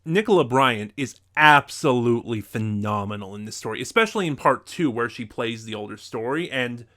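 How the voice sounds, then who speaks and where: Male video reviewer, mid-pitched, talking viewers through semi-scripted commentary. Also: animated, moderate pace, bright, forceful tone.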